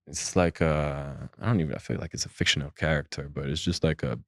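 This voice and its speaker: A young man with a low, deep voice talks casually as a podcast guest, swinging into lively acted-out voices when he retells what people said to him. The sound is close and dry, with no room around it. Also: a little fast, no room sound. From Switzerland. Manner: animated storytelling, acts out voices of others. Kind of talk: casual chat.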